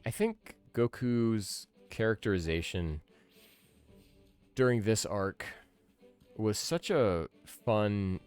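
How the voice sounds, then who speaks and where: A man with a low-pitched voice talks casually as he streams a video game. He muses like an opinionated fan, thinking aloud in a conversational way. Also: expressive, takes it slowly, pauses often, darkish tone, clean tone, faint music behind. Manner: musing, opinionated fan chat. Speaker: streamer, podcast host.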